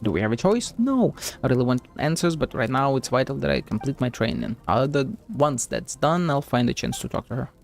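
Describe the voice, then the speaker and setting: A male game streamer with a creaky, mid-pitched voice. He gives casual, playful reactions and muttered asides, with faint music behind. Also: expressive, fairly quick, few pauses. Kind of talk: casual live reaction commentary over a game.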